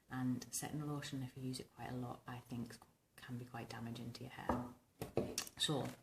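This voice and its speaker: A woman in her thirties with a husky, rumbling, slightly hoarse voice, chatting casually and ramblingly through a hairstyling tutorial to camera. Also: darkish tone, echoey room, a little hiss or hum. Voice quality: husky, rumbling, smoky voice from tonsillitis. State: recovering from tonsillitis, hoarse. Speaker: vintage hairstyling vlogger. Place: small domestic bedroom, indoors.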